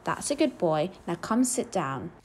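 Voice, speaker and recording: A kindly old washerwoman from a storybook, acted out by a woman reader, fussing and motherly in homely speech. Her pitch swings about in a lively way, with some background noise on the recording. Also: a little slow. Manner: colloquial, motherly scolding.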